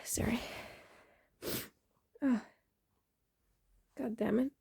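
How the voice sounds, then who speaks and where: A woman's voice: on the high side, steady tone, extremely slow, creaky, mellow, breathy edge. A woman with a stuffed-up, sniffly nose, talking casually into her phone at home and murmuring breathily between sneezes. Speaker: vlogger. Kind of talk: casual self-recorded vlog.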